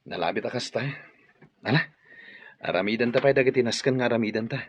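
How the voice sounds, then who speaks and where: A man's voice: mid-range voice, quick pace, breathy edge, close to an everyday voice. A man acting a bashful, hesitant household worker in a radio drama. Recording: muffled radio drama recording.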